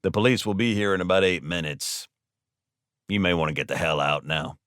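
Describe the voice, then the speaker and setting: Man acting a cool, deadpan wisecracker, close and dry. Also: low-pitched, a little fast, no room sound, pitched below the performer's own voice. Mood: coolly bluffing. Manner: calm, deadpan, smart-alecky. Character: wry, unflappable middle-aged man in a wheelchair.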